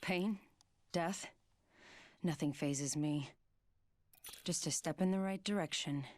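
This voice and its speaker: A woman voicing a cool, stealthy, menacing fighter in a video game, in measured, taunting lines. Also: sits low, darkish tone, dry recording.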